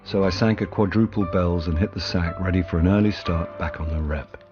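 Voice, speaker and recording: Man acting jaded middle-aged narrator, very deep, dry wit, self-mocking, radio drama. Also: fairly even intonation, a little fast, lo-fi sound, music in the background, close to an everyday voice. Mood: wry, dry. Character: wry, jaded male middle-aged actor narrating his own misadventures.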